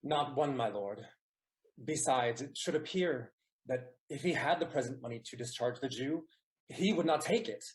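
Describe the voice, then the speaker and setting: An actor playing a grave, loyal gentleman messenger in a Shakespearean audio play, speaking formally. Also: mid-range voice, moderate pace, close to an everyday voice. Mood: grave, indignant. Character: grave, loyal Venetian gentleman messenger bearing bad news.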